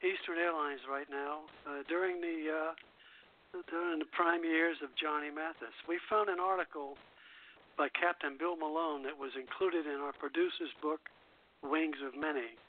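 A man hosting a radio show in casual, halting talk over a tinny phone-like line. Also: a little high, steady tone.

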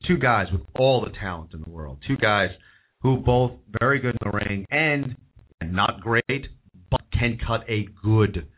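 A hoarse man hosting a call-in wrestling talk show, rambling and opinionated, repeating himself as he goes. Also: mid-range voice. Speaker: host. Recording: tinny phone-like audio.

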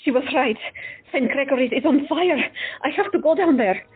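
A woman's voice: high-pitched, moderate pace, phone-quality sound, lightly acted. A woman playing a brave, caring female doctor who speaks in a firm, protective way in an audio drama.

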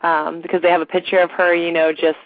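Raspy, lower-pitched woman with a slight cold. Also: level tone, fast, no echo. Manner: chatty, informal. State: congested with allergies and a slight cold. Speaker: talk show co-host. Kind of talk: unscripted casual radio chat. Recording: tinny phone-line internet radio audio.

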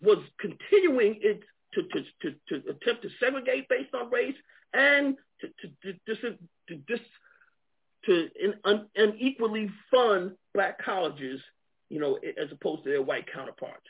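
Brief: high, lively New York man, earnest and halting, raspy tone, phone-quality sound. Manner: earnest, many repeated restarts. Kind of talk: animated discussion on a call. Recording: tinny phone-like line.

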